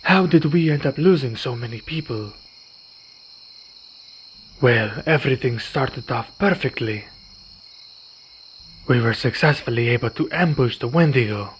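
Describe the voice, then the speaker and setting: A man playing a weary, courteous vampire in an ASMR roleplay, speaking in formal, measured phrases. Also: mid-range voice, lively intonation, natural voice. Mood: solemn recounting. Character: weary, courteous male vampire, wounded but calm. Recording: dull recording with night insect noise. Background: crickets and night insects.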